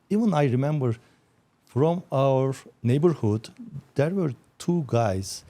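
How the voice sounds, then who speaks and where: A man's voice: medium pitch, mellow. A man from Turkey, a company founder giving modest, plain answers as a podcast guest. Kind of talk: casual interview chat.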